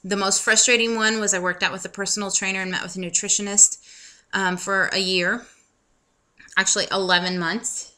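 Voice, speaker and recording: A woman's voice: medium pitch, flat delivery, a little fast, sharp tone. A woman in her thirties chatting casually to camera in a personal vlog, with self-deprecating humor and lots of ums. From United States. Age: 30s. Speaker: vlogger.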